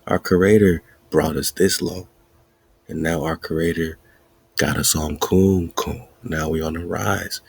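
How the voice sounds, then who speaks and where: A man hosting a podcast, riffing excitedly in casual commentary with wordplay and frequent laughter. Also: a bass voice, slow pace, creaky, breathy edge, no room sound. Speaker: podcast host.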